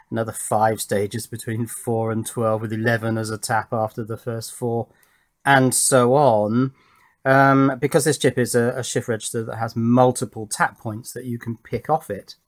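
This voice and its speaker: Male tutorial presenter, medium pitch, thinks aloud methodically, unscripted technical delivery. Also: flat delivery, moderate pace. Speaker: presenter of electronics tutorial channel. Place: indoors at a workbench.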